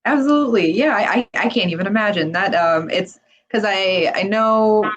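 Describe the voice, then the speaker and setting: A woman's voice: medium pitch, moderate pace, highs cut off. Upbeat woman interviewer with many fillers. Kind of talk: casual interview.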